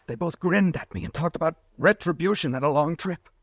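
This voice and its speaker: An actor playing a frightened pharmacist haunted by a murder, pleading and panicky, in an old radio drama. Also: male voice, on the high side, animated, brisk, no echo, clean studio sound. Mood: shaken. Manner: panicky, pleading, breaking into shouts. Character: guilt-ridden young pharmacist murderer. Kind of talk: 1940s radio acting.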